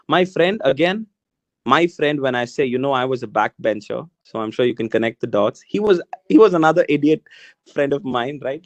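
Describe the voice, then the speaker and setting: A man's voice: fairly high pitch, no echo. Indian man giving a casual pep talk. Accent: Indian English. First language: Tamil. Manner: casual, encouraging, calls listeners man and guys. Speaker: alumni guest speaker. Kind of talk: motivational talk to students.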